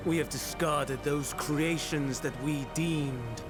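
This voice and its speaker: A man playing a solemn, coldly reasoning overseer in a video game, speaking in a formal, measured way over music. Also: fairly high pitch. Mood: grave, doubting. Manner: measured, formal, grave, deliberate pauses. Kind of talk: dramatic video game acting. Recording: music behind the voice.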